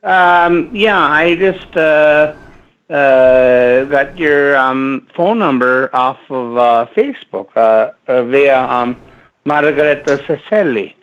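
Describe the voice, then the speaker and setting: A man's voice: mid-range voice, clear, resonant tone. A man from Minneapolis whose first language is Latvian, calling a radio show from his front porch and rambling hesitantly with many fillers. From Minneapolis, United States. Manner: hesitant, halting, full of fillers. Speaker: caller. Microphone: phone. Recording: dull phone line.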